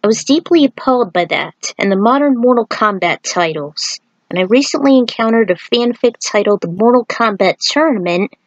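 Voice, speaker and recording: A woman's voice: a little high, animated, normal speed, no echo, lo-fi sound. A woman reading her own fan fiction aloud as an amateur narrator and adding author's notes. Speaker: fan fiction writer and podfic reader.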